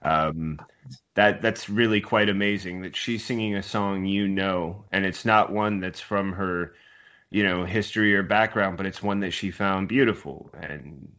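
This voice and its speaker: A man's voice: deep voice, a little slow, a bit bright, muffled recording. A man hosting a casual remote interview, asking long, wordy questions with some hesitations. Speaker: interview host.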